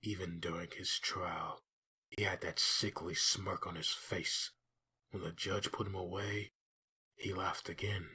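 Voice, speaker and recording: A man voicing a grim, haunted undead assassin in a brooding comic dub monologue, with a raspy, gravelly growl. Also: deep voice, little pitch movement, unhurried, pushed and bright, slight background noise, full character voice. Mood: brooding, bitter.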